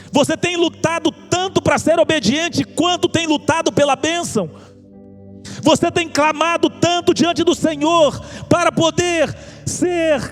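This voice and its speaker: A man with a Brazilian accent preaching an evangelical sermon, exhorting listeners with impassioned, repeated appeals. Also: very high voice. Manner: impassioned, exhorting, rhetorical repetition. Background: music under the preaching.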